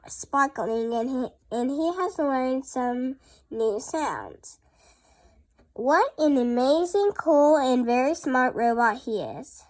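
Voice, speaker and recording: A young girl reading a picture book aloud as host, in a careful, halting way. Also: high-pitched. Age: child. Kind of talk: storybook read-aloud.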